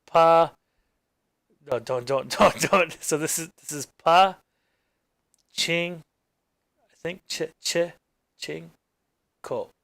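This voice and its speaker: A man's voice: on the high side, slow, vocal fry, pauses often, fairly bright tone, no echo. A man hosting his own channel, thinking aloud as he works on his screen, rambling and trailing off into mumbles. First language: English. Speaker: gaming channel host. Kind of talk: casual screen-recording commentary.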